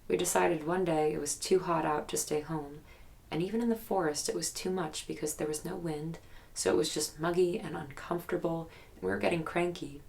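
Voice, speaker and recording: Casting: guarded young woman in investigative audio drama, very low register, fry-heavy, hesitant naturalistic storyteller carrying a haunted memory. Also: fairly even intonation, a little mellow. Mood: reflective. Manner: hesitant, reflective storytelling with pauses. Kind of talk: naturalistic documentary-style audio drama interview.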